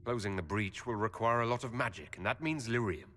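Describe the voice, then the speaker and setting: Diplomatic male fantasy game lead male actor, mid pitch, earnest and expressive, recorded with hall reverb. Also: slight background noise, natural voice. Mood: earnest, resolute. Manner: reasoned, earnest, measured wording. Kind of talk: video game cutscene acting. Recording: hall reverb with music behind.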